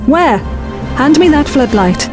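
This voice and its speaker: A woman plays a brisk young expedition leader in a sci-fi audio drama. Her voice is fairly high, blunt and commanding, over a clear music bed. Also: expressive. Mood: urgent command. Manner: blunt, authoritative.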